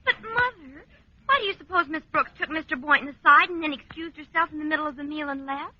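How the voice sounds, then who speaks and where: Naive American teen girl played by a woman, piping high, inquisitive, muffled old radio recording. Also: fast, lightly acted. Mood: puzzled. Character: inquisitive teenage daughter, polite and naive. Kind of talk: 1940s radio sitcom acting. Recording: dull vintage radio recording.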